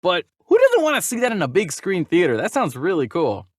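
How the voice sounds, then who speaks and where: Animated higher-voiced man co-hosting a podcast. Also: a little fast, dry recording, clean studio sound. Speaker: podcast co-host and voice actor. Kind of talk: casual movie news discussion, reading news aloud.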